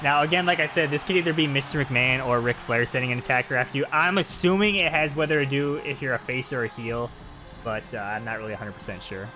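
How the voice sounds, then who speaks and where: A man's voice: medium pitch, quick pace, over music. A man giving casual, playful live commentary on a video game. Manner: playful, hams up cutscene dialogue.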